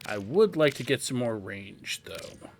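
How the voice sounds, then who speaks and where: A man's voice: mid-range voice, lively intonation, measured pace, gravelly, slight background noise. A man giving a casual game walkthrough, explaining things as he goes and thinking aloud. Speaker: gameplay guide host.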